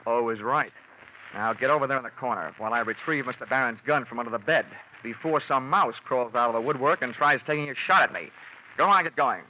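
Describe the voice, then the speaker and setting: An actor playing a cocky, hot-tempered American insurance investigator in 1940s radio detective style, brash, sardonic and hard-boiled. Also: male voice, mid-range voice, brisk, natural voice. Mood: tough, taunting. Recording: muffled, noisy vintage radio recording.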